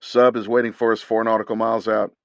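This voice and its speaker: Male narrator doing a raised, fast, clipped navy officer, dry close mic. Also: lowish voice, level tone, dry recording, lo-fi sound, lightly acted. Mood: urgent. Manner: loud, brisk and clipped. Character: commanding young male navy commando officer.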